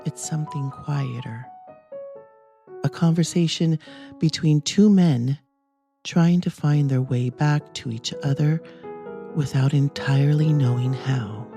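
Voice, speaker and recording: Slow, soft-toned reflective podcast narrator. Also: medium pitch, fairly even intonation, vocal fry, soft background music. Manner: measured, reflective delivery. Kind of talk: scripted audio story narration.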